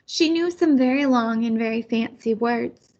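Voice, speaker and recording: A woman's voice: on the high side, moderate pace, a little mellow, lo-fi sound. A woman reading a children's picture book aloud as a storyteller. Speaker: storybook reader.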